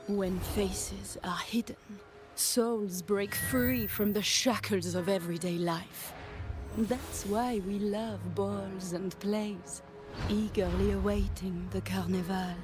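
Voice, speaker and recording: An actress playing a playful, theatrical madame of a mask shop in a video game, with genteel, flowery phrasing. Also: female voice, medium pitch, steady tone, takes it slowly, music in the background, natural voice. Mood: mysterious, musing. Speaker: shopkeeper.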